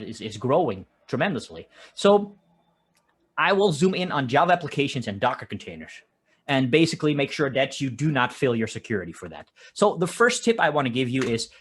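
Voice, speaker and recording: A man's voice: mid-range voice, breathy edge. A man from the Netherlands with a Dutch accent, giving a conversational technical conference talk and often restarting his phrases. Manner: conversational, with frequent restarts and filler "uh". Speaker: conference speaker. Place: home office, construction noise outside.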